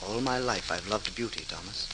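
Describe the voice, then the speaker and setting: An actor playing a modest, earnest and dedicated potter in an old-time radio drama, on a noisy vintage recording. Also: male voice, on the low side, normal speed, natural voice. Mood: humble, earnest.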